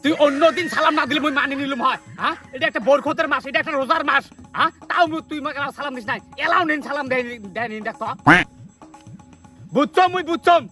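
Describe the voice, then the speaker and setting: Man acting cranky high-pitched fasting elder. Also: bright, forceful tone, faint music behind. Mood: scolding, indignant. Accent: northern Bangladesh (Rangpuri). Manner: hectoring, nagging with repeated questions. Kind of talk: comedy skit acting in rural dialect.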